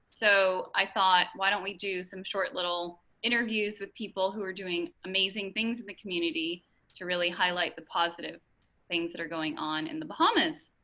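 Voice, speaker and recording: A woman's voice: fairly low pitch, fairly even intonation, moderate pace, bright, forceful tone, rough recording. A young woman hosting an interview over a video call, chatty and upbeat, with lots of fillers. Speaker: interview host.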